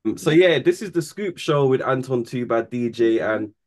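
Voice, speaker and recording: A man's voice: mid-range voice, animated, moderate pace. A man hosting a casual talk show, easygoing and informal. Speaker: host. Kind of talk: casual chat.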